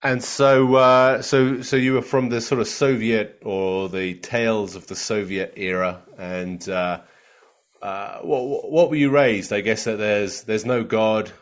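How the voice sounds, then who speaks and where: A man's voice: fairly low pitch, steady tone, measured pace. A man hosting an interview as a pastor, upbeat and chatty with many filler sounds. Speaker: host, pastor. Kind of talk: interview host.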